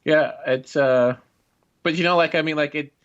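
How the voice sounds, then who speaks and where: A man chatting casually as an interview guest, in halting, rambling phrases, on a muffled lo-fi recording. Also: fairly high pitch. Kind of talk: casual chat. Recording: dull, lo-fi voice track.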